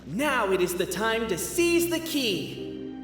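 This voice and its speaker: A man's voice: very high voice, big pitch swings, takes it slowly, in a large hall, exaggerated voice. A man voicing a formal, indignant clan historian creature in a video game, with theatrical, declaiming delivery. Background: background music.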